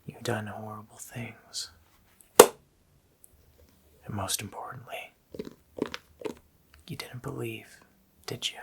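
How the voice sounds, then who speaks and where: A man voicing a sinister, taunting Christmas demon in a slow, hoarse, menacing whisper for an ASMR roleplay. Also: on the low side, steady tone. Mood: accusing, taunting. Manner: slow, menacing, drawn-out phrasing.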